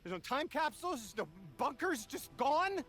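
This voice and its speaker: An actor voicing a bewildered man displaced centuries from his own time, halting and searching for words, in a video game cutscene. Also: extremely high pitch, faint music behind, full character voice. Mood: disbelieving. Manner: anguished and halting when grieving, folksy and rambling when relaxed.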